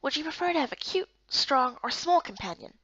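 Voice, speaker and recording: A woman's voice: high-pitched, expressive, a little fast, fairly bright tone, dry recording, dull audio. A woman voicing a patient, helpful male guild guide in a visual novel game, speaking politely and instructively. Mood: polite, questioning.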